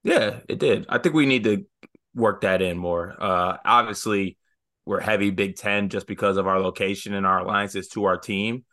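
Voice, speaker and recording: Easygoing low-voiced podcast co-host man. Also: moderate pace, sharp tone. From Michigan. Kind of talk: casual sports podcast banter.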